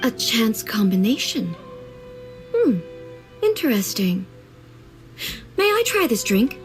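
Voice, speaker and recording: A woman voicing a composed divine female ruler in a video game, speaking in a measured, formal and softly curious way. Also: fairly high pitch, sing-song, music in the background.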